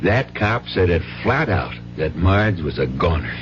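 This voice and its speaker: A man's voice: deep voice, big pitch swings, unhurried, music in the background. Flustered, guilty father, muffled radio. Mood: bitter. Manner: stammering, hesitant. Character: flustered, guilt-ridden gambling father, defensive and evasive. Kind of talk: 1970s radio drama acting. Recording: muffled vintage radio broadcast.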